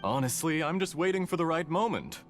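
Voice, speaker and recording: An actor playing a suave, mocking villain in a video game, theatrically polite, smug and sly. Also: male voice, on the high side, animated, brisk, lightly acted. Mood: smug, taunting. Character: suave, mocking male villain posing as mechanic. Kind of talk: dramatic video game voice acting. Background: background music.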